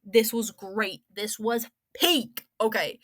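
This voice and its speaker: A young woman rambling casually through an opinionated game story review, slangy and sarcastic, with animated, exaggerated emphasis. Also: a little high, slow pace.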